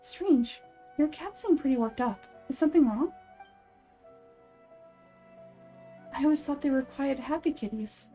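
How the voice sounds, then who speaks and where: A woman's voice: high voice, expressive, moderate pace, dark tone, faint music behind. A woman voicing an earnest, lovelorn young working woman in a game, confiding and conversational. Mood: concerned, friendly.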